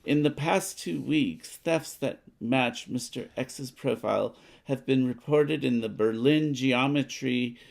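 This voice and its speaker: A man's voice: medium pitch, slow, creaky, hardly any pauses. A man co-hosting a board game playthrough, chatting casually and reading story text aloud.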